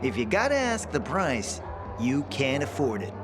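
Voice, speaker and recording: An actor playing a pompous, refined and sly supply boss in a video game, speaking theatrically and with condescension. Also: male voice, medium pitch, wildly swooping pitch, normal speed, over music. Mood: condescending.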